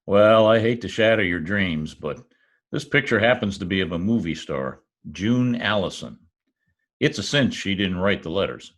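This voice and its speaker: Male actor as radio detective, low voice, very animated intonation, wisecracking, dry, old-time drama. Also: normal speed, full character voice. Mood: wry. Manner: deadpan, dry quips. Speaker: actor in an amateur radio-play reenactment. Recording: remote home recording over video call.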